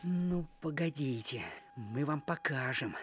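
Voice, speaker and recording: A man's voice: fairly high pitch, expressive, takes it slowly. A man playing a kind, eager young bewitched dwarf in a cartoon, earnest and boyish. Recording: music behind the voice.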